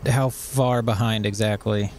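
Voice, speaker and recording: A man's voice: fairly low pitch, steady tone, a little slow. Weary, kind office man, noisy background. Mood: tired, focused. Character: weary, kind, overworked male office colleague. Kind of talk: naturalistic audio drama acting.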